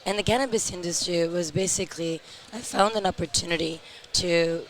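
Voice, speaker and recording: Hoarse middle-aged woman, guest in an interview, slow and measured. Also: fairly low pitch, low-quality recording. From Europe. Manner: speaks slowly and measured. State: hoarse, tired voice. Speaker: interview guest, company founder. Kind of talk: casual interview.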